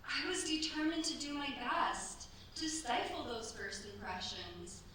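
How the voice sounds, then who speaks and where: A woman playing a distraught young French widow in a staged radio play, halting and pleading as she protests her innocence. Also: high voice, expressive, sharp tone, exaggerated voice. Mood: distressed, defensive. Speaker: amateur radio play actor. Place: live theatre stage. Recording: echoey stage, distant mic, faint noise.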